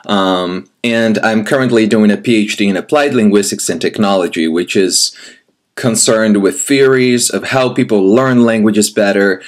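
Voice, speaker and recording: Brazilian man in his 30s, native Portuguese speaker, lowish voice, teacher addressing viewer with filler pauses. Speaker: language teacher. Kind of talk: recorded teaching application and sample lesson.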